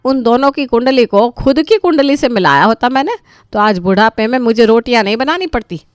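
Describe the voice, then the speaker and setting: A woman's voice: on the high side, lively intonation, brisk, clear, resonant tone, dry recording, clean studio sound, lightly acted. A woman voicing a weary elderly mother-in-law in a cartoon story, grumbling with dramatic self-pity. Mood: weary, aggrieved. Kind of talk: animated moral story dialogue.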